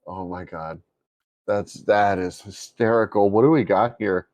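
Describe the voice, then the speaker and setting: A man with a bassy voice co-hosting a casual tech podcast, amused and quick to laugh. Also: darkish tone, no echo. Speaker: podcast co-host.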